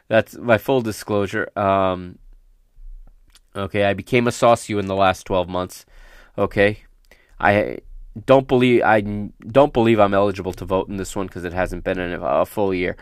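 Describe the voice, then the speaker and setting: A man hosting a solo sports podcast, speaking off the cuff in an emphatic, halting way, his voice slightly raspy as it recovers from illness. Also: fairly low pitch, no room sound, studio quality. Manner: emphatic and halting, often checks in with "okay?". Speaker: podcast host. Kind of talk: unscripted solo sports commentary.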